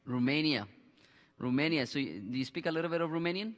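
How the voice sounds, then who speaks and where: A man in his thirties hosting a church Bible discussion on a headset mic, halting with restarts and fillers. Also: on the high side, in a large hall. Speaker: pastor and host. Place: church studio with a screen behind.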